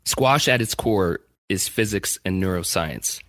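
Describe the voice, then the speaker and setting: A man's voice: low-pitched, animated, a little slow, a bit bright, no room sound. A man hosting an interview who asks long, enthusiastic questions and often restarts his sentences. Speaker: podcast host. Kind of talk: interview host.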